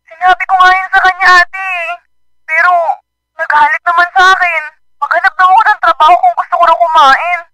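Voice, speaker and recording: An earnest younger sister making a plaintive phone call in a radio drama. Her voice is extremely high and bright, with a complaining tone. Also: steady tone, a little fast, dry recording. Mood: plaintive, upset. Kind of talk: Filipino radio drama acting.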